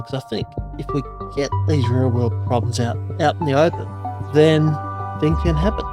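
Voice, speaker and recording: A man hosting a podcast who introduces his guest in a chatty, rambling, enthusiastic way. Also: medium pitch. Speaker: podcast host. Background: music.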